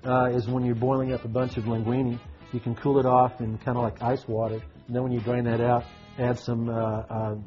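A man's voice: mid-range voice, little pitch movement, brisk, few pauses, lo-fi sound, soft background music. Folksy man chef cooking on TV. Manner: folksy, talks while cooking with frequent fillers. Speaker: restaurant chef. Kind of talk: live TV cooking demo. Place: TV studio kitchen set. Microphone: lapel mic.

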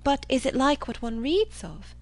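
A woman's voice: high voice, sing-song, moderate pace, dry recording, voice pushed up. A woman voicing a naive, earnest young woman, polite and questioning, in a storybook reading. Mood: eager, wistful.

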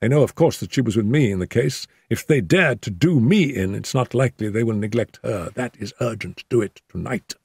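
A man voicing an injured detective who mutters in a weak, hoarse whisper, wry and scheming, in an audiobook. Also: medium pitch, brisk, few pauses, a little mellow, no echo, clean studio sound, pitched above the performer's own voice. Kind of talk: audiobook character voice.

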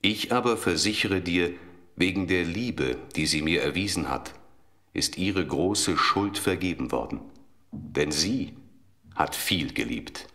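Biblical teacher for audio drama, extremely low, calm, deliberate, slow delivery. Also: male voice, full character voice. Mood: earnest, reproving. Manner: solemn, deliberate. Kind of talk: German religious audio drama.

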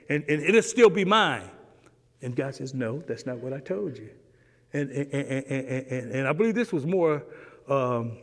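A middle-aged man teaching a Sunday school lesson in a church sanctuary, preaching with animation and acting out exchanges with God, swinging between loud and hushed. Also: fairly high pitch, in a large hall. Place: church sanctuary, standing before a pulpit.

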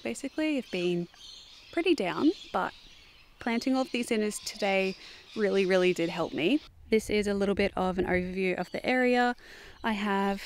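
A woman chatting casually as she walks through her garden, with fillers and some soft asides. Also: mid-range voice, a little hiss or hum. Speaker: vlogger giving a garden tour. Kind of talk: casual walking garden tour. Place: outdoors in a home garden.

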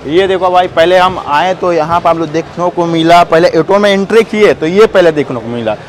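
A man's voice: a little high, a little hiss or hum. A young man hosting a casual product walkthrough at a busy indoor expo booth, chatty and addressing viewers directly. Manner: chatty, addresses viewers as brothers, quick questions. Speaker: trade show video host.

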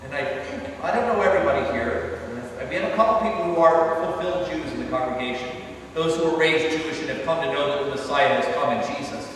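Priest, middle-aged man, fairly high voice, chatty sermon delivery, distant and roomy with long reverb. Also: quick pace, fairly bright tone. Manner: conversational preaching with asides. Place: large stone church, indoors. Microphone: far camera at the back of the church. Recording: echoey church from far off, faint hiss.

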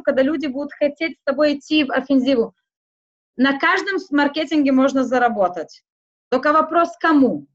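A woman whose first language is not Russian, speaking haltingly in a casual interview, with many fillers and restarts. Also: high-pitched, highs cut off. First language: not Russian; schooled outside Russian. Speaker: interview guest.

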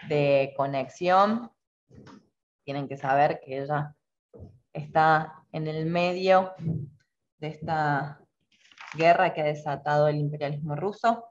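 A woman's voice: sits low, slight background noise. A woman in her thirties moderating an online meeting and introducing the next speakers. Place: indoor room with bookshelves, on a video call. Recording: dull lo-fi video call audio.

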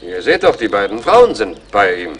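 An actor playing a courteous, earnest English army major in a radio play, speaking in a formal manner. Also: male voice, lowish voice, big pitch swings, normal speed, a bit bright, slight background noise. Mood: persuading. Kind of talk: German radio play acting.

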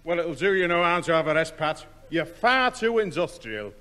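A jovial, slightly pompous neighbour who likes a tipple, speaking in a high, forceful voice in old radio comedy style. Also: male voice, very animated, moderate pace. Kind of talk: 1960s radio sitcom acting.

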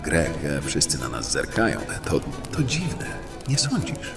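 A man playing an irritable, threatening fantasy tough guy in an audio drama, gruff and curt. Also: a bass voice, huge pitch swings, unhurried, recorded from a distance, over music, full character voice. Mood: uneasy.

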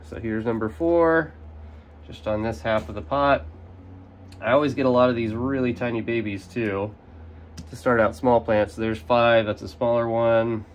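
A man's voice: medium pitch, moderate pace, slight background noise. Casting: young man, a bit nasal from a cold, easygoing how-to explainer, outdoor recording. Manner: relaxed, explanatory, casual with fillers. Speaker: carnivorous plant grower. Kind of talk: hands-on gardening demonstration. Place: outdoors in sunlight at a potting table. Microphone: camera overhead, speaker off frame.

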